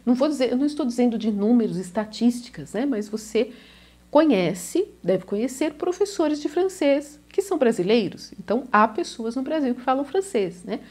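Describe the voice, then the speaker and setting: A woman's voice: on the high side, animated, moderate pace, darkish tone. A Brazilian woman with a São Paulo accent, a language teacher talking casually to camera, often ending phrases with a questioning tag. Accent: São Paulo Brazilian Portuguese. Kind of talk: casual video lesson to camera.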